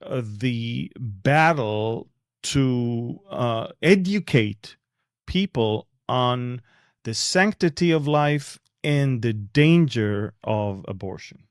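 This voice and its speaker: A man's voice: mid-range voice, extremely slow, clear, resonant tone, dry recording, studio quality. A man with an Israeli accent hosting a podcast and interviewing a guest in a conversational style.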